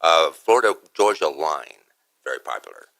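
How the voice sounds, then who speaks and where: A man's voice: a bass voice, very animated, moderate pace, vocal fry, bright, forceful tone, dry recording. A man who works as a radio DJ, chatting casually as an agreeable interview guest. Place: radio studio.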